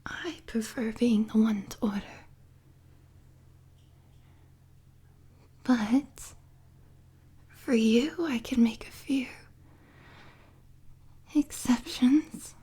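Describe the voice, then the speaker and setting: A woman playing an unhinged, flirtatious female supervillain prisoner in a roleplay audio drama, with a breathy, husky, whispery voice and a teasing, mock-sweet manner. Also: on the high side, dark tone, heavily acted. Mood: smug, seductive. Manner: slow, teasing, sultry, mock-sweet taunting.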